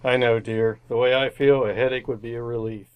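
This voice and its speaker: A man playing a stuffy, pained dinner guest in an old-time radio comedy, speaking stiffly and haltingly. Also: medium pitch, flat delivery, smooth, narrow, tinny audio, lightly acted.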